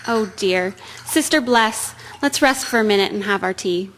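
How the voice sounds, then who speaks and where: A woman playing a gentle, devoted nursing sister in a radio drama, formal, soothing and composed. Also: mid-range voice, moderate pace, a little hiss or hum, close to an everyday voice, low-quality recording. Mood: grave, composed.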